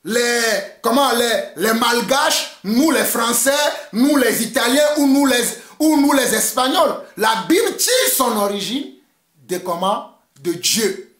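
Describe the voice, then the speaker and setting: A man's voice: very high-pitched, expressive, slow pace, a bit bright, roomy sound. A man from Togo whose first language is Mina, preaching an emphatic, fiery sermon and repeating his points. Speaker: pastor.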